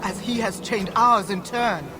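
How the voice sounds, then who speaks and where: A woman's voice: medium pitch, a little slow, music in the background, lightly acted. Woman playing a fierce, imperious Norse goddess mother. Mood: bitter, reproachful. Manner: imperious, archaic formal phrasing. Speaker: voice actor in a video game. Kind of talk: dramatic game cutscene acting.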